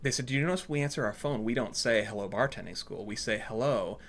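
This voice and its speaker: A man chatting casually and telling stories in an animated way, acting out what people said to him. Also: lowish voice, fast. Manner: animated storytelling, acts out reported dialogue. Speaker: storyteller in conversation. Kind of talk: casual anecdotal chat.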